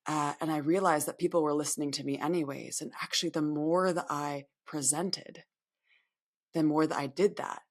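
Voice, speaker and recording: A woman's voice: sits low, fairly even intonation, moderate pace. A woman in her thirties from upstate New York, speaking candidly and reflectively as a podcast guest, often restarting her words. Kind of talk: podcast interview.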